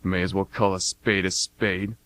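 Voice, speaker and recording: Low male voice, acted, blunt war veteran turned mercenary, folksy, cocky, self-justifying, radio play monologue. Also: flat delivery, unhurried, fairly bright tone. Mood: matter-of-fact, boastful. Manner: folksy, plain-spoken, cocky.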